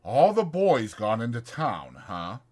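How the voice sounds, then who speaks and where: A man's voice: mid-range voice, slow, voice pushed up. A man voicing a lonely, bitter, needling stable hand in a dramatized audiobook, with folksy, insistent, taunting talk. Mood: grudgingly friendly. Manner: insistent, taunting, folksy, drawn into excited speeches.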